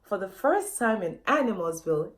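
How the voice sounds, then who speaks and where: A woman's voice: medium pitch, very animated, normal speed. A woman author reading a children's story aloud, expressively, often addressing young listeners directly with questions.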